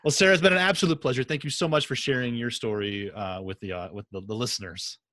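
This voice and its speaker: A man's voice: medium pitch, fast, sharp tone, no echo, clean studio sound. A man hosting a podcast, talking in a casual, conversational interview style. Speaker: podcast host.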